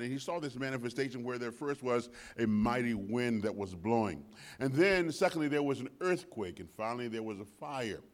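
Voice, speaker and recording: A man in his sixties with a deep voice, preaching as a guest pastor in church with emphatic repetition that swings from soft to loud. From United States. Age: 60s. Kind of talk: sermon. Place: church sanctuary.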